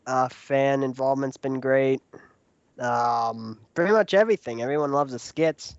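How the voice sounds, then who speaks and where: A man's voice: medium pitch, normal speed, smooth, dry recording, dull audio. A man chatting casually as a regular on a podcast, speaking in short plain phrases. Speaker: podcast regular, video reviewer. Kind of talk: casual chat.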